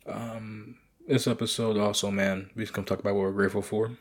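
A young man hosting his podcast, rambling and swearing in a casual late-night monologue with a raspy voice. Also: on the low side, little pitch movement, brisk. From United States. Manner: profane, rambling, loose. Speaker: podcast host.

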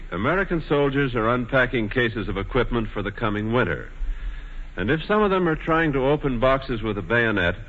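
Lively 1940s radio spokesman, long reverb. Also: mid-range voice, muffled recording. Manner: formal, measured announcer delivery. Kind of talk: scripted 1940s radio advertisement narration.

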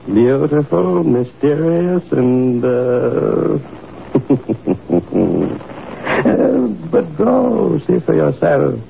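An actor playing a courteous, measured police inspector in a 1950s radio drama, formal and slightly hesitant. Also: male voice, a little high, very animated, vocal fry, heavily acted. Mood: courteous, discreet. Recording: muffled, noisy old radio broadcast recording.

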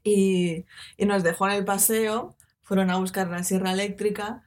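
A woman's voice: medium pitch, moderate pace. Young woman from Spain telling a story. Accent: Spanish, from Spain. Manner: animated, laughing anecdotes. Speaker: podcast co-host. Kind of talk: casual storytelling chat.

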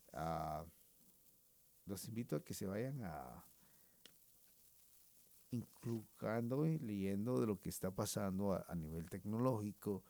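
Dude hosting a joke bit, slangy and rambly, deep and gravelly. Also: male voice, a little mellow, no echo. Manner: slangy, rambling, laughing often. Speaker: comedian and music presenter. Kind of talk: comic monologue with put-on voices.

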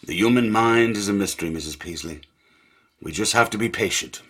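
An actor in a radio drama playing a brisk, soothing early 1900s family doctor with a husky voice. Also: male voice, lowish voice. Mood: reassuring. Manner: professional, soothing, expressive.

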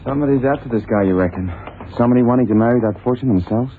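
An actor in a vintage radio drama playing an eager, easygoing detective's sidekick with a colloquial, folksy manner. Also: male voice, medium pitch, very fast, natural voice. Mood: curious. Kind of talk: 1960s-70s radio drama acting. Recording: tinny, noisy old radio broadcast.

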